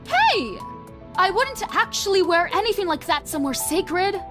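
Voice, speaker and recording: A woman's voice: extremely high pitch, sing-song, normal speed, music in the background. A woman playing a vain, glamorous young fashion model, breezy, theatrical and self-absorbed, in an audio drama. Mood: pouty.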